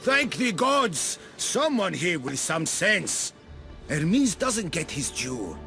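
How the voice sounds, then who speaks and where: Very animated, fervent male devotee. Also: high voice, slow pace, gravelly, full character voice. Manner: effusive, theatrical. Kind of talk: video game cutscene acting. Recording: music behind the voice.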